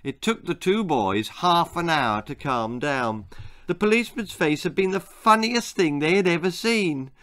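A man in his sixties reading a children's story aloud in an expressive, theatrical way. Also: on the high side, measured pace. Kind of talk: dramatic children's story narration. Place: small book-lined room indoors.

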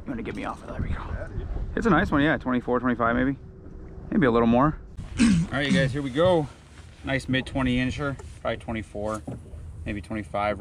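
A young man on a fishing boat chatting casually to viewers as he reels in fish, picked up by a camera on the boat. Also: mid-range voice. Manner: laid-back, talks to viewers while reeling in fish. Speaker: fishing vlogger. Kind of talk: casual on-water commentary. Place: small fishing boat on open water, outdoors. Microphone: action camera mounted on the boat. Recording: outdoor boat camera with clear wind and water noise. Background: wind and water around the boat.

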